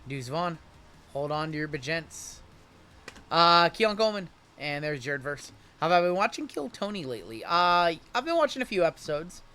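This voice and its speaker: A man in his late thirties runs a live card break stream, talking in a loose, chatty way as he reads off players and answers viewers. His voice sits a little high and has a bright, forceful edge. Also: sing-song, normal speed, a little hiss or hum. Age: 30s. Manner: chatty, rattles off player names and chats with viewers.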